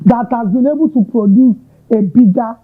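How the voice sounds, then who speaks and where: A man's voice: very high voice, normal speed, slightly rough. A Nigerian man hosting an interview, asking long, winding questions with Yoruba asides. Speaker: interviewer, host. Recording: tinny narrow-band audio.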